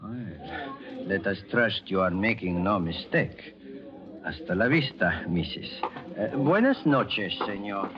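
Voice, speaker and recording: Suave foreign buyer, swooping polite speech. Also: male voice, fairly low pitch, lively intonation, slow. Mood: quietly pressing. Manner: formal, polite, hesitant phrasing. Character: suave, insistent foreign buyer haggling over a negative. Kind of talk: old-time radio drama acting. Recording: dull vintage radio recording, crowd murmur.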